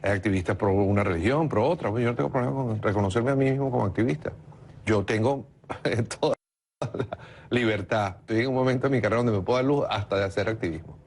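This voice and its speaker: A man's voice: lowish voice, quick pace, a little mellow, a little hiss or hum. A man from Venezuela, a political consultant arguing his point insistently in a heated TV interview.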